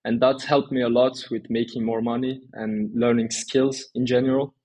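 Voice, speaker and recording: A man's voice: mid-range voice, little pitch movement, normal speed, darkish tone, low-quality recording. A man, answering interview questions in a conversational way. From Turkey and France. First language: Turkish and French. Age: 20s. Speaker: interview guest, small agency owner.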